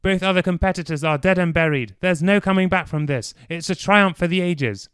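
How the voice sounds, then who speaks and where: A man's voice: high-pitched, brisk, a bit bright, clean tone, no echo, studio quality, voice pushed up. A man doing a frantic football commentator. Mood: excited. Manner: breathless rising play-by-play. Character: excited male football commentator.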